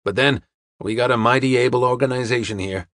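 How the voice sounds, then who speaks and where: A man playing a hearty, self-satisfied American police officer in an audiobook, brisk and slangy. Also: medium pitch, a bit bright, dry recording, studio quality, natural voice. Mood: hearty, smug.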